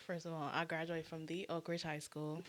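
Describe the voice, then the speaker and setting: A woman's voice: sits low, little pitch movement, a little fast. A woman from Florida talking casually as an interview guest on a podcast. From Orlando, Florida, USA.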